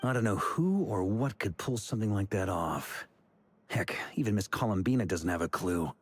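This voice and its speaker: A man playing a seasoned, easygoing middle-aged knight commander in a video game, speaking in a measured, reassuring, thoughtful way. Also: fairly low pitch, lively intonation, soft background music, close to an everyday voice. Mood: warm, thoughtful.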